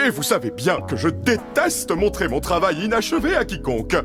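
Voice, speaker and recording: A flamboyant male ringmaster in a cartoon, all showy announcer swagger. His voice sits high and leaps wildly up and down. Music plays beneath the dubbed lines. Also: huge pitch swings, moderate pace. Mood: apologetic. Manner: theatrical, sweeping announcer delivery. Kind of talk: French cartoon dub. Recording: cartoon dub with music underneath.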